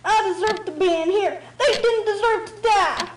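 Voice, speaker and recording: A woman's voice: very high voice, normal speed, noisy background. A woman playing a troubled, guilt-ridden young pony filly in an audio drama, tearful and distrustful. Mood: guilt-ridden, upset. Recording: roomy voice over background music.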